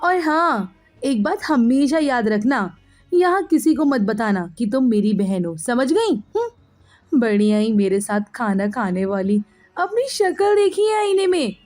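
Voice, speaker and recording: A woman's voice: fairly high pitch, very animated, measured pace, a little mellow, faint music behind. A woman voicing a vain, spoiled young girl with a snooty, taunting manner in a moral story. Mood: haughty.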